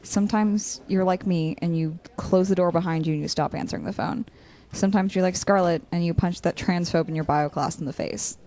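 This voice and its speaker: A woman's voice: lowish voice, fairly even intonation, fairly quick, few pauses, warm tone, lightly acted. A woman acting a blunt, wry and protective young friend in a naturalistic audio drama. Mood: firm, supportive.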